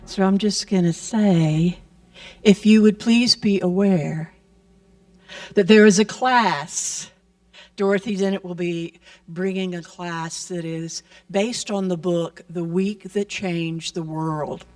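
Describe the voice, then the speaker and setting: A woman making gentle announcements and blessings during a church service. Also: lowish voice, steady tone. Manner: slow, gentle, blessing-style delivery. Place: church sanctuary. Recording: voice picked up from a distance.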